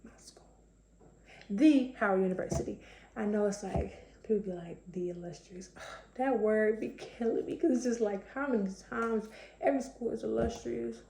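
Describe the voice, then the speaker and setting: A young woman with a slightly raspy voice, chatting casually to camera with lots of fillers. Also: on the high side, moderate pace, warm tone, echoey room, a little hiss or hum, low-quality recording. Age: young adult. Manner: chatty, conversational, many fillers. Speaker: vlogger.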